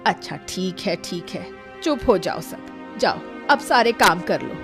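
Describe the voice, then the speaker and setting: A woman playing a careworn old grandmother in an animated story, scolding and lamenting but loving. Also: on the low side, a little slow, over music. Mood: weary, worried. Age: older. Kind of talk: Hindi animated story dialogue.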